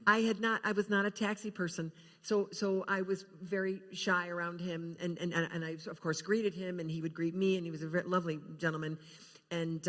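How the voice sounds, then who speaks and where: An older woman shares film memories as a panel guest. She speaks haltingly, often doubling back on words as she builds an anecdote. Her voice rings in a large, reverberant hall. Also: fairly low pitch, a bit bright. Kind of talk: panel reminiscence, anecdotal storytelling. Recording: echoey hall with long reverb.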